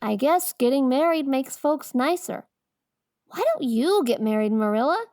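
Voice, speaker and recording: A very high-voiced little boy of eight in a storybook. He is earnest and mischievous, chattering away in slangy childish grammar. The sound is dry and close. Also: animated, normal speed, a little mellow, no room sound. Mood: curious. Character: talkative eight-year-old boy, earnest, mischievous and curious. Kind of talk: dramatized audiobook character acting.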